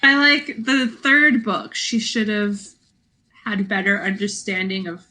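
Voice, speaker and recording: A woman with a bright voice in a casual book discussion. Her pitch sits in the middle for a woman. She retells things in an acted-out, conversational way, as a podcast co-host. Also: unhurried.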